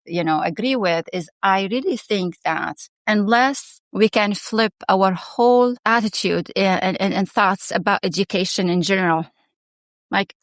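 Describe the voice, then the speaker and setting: A woman's voice: medium pitch, no room sound. A middle-aged woman from Syria talks as an interview guest, thinking aloud with frequent restarts and small laughs. The sound is dry and close, with no room around her. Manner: warm, reflective, many restarts and fillers, laughs often. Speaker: guest, education founder and former principal. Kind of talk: casual interview conversation.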